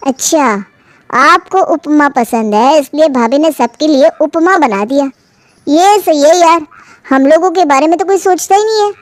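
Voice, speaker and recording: A picky young sister-in-law, acted by a woman in a cartoon story. Her very high voice is pouty, sarcastic and exasperated, in a dry close recording. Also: normal speed, no room sound, lightly acted. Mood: sulky. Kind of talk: cartoon family story acting.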